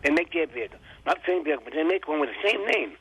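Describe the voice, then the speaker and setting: A man's voice: on the high side, very animated, racing, vocal fry, a bit bright, breathy edge, dry recording, poor audio. A man with a New England accent co-hosting a radio chat, quizzing his partner on old cars with short, chatty replies. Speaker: radio co-host, trivia quizzer.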